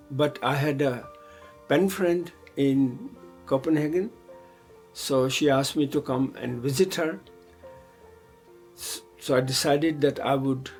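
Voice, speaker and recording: An older man in a mid-range voice. He looks back on his life in a reflective, unhurried way, pausing often to hesitate. Faint music plays softly behind him. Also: medium pitch. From Pakistan. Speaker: interviewee. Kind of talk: oral history storytelling.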